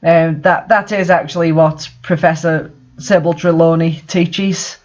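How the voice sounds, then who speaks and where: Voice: medium pitch, steady tone, measured pace, a little mellow. A person casually commenting on fan fiction they read aloud, exasperated, incredulous and swearing freely. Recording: dull lo-fi microphone sound.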